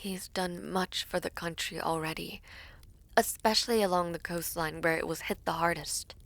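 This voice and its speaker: Woman acting a composed, low-voiced young princess. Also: fairly even intonation, a bit bright, dry recording. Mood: earnest advocacy. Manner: measured, formal, courtly. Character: composed, diplomatic young princess, earnest and loyal. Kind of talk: dramatic audio roleplay acting.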